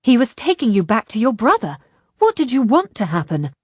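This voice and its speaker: A woman voicing a defiant young female fighter, blunt and forceful, in an audiobook. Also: mid-range voice, expressive, normal speed, slightly rough, no room sound, studio quality. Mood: fierce, angry.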